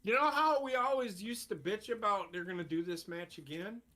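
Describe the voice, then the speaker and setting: A man's voice: high-pitched, moderate pace, sharp tone. A man co-hosting a casual podcast, ranting bluntly and swearing freely about wrestling and politics. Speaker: podcast co-host. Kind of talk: casual opinionated chat.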